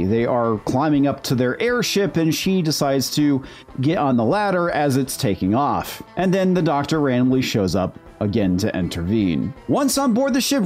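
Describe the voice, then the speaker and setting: A man's voice: fairly high pitch, a little mellow. A man delivering scripted critical commentary in a sarcastic, mocking manner. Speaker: video host, TV critic.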